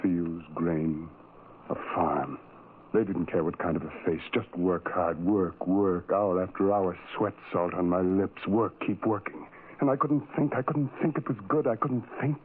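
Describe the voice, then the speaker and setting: A man's voice: low-pitched, fairly even intonation, measured pace. An actor playing a big, lonely, tormented man in an old radio drama, speaking in brooding, repetitive fragments. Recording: tinny old radio recording, faint noise.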